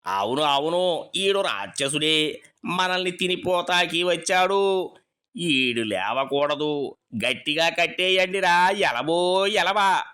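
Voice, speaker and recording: A woman's voice: fairly low pitch, lively intonation, slow pace, fairly bright tone, smooth, voice pushed down. A woman voicing a bossy old male dwarf king who talks in a rustic village dialect and drags out a chanted refrain. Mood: alarmed.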